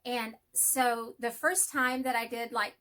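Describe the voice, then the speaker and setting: A woman's voice: on the high side, fairly even intonation, takes it slowly, sharp tone. A middle-aged woman with a Texas twang and a nasal voice, chatting casually to camera about her life. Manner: rambling, friendly, self-deprecating. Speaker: hobby vlogger and math teacher.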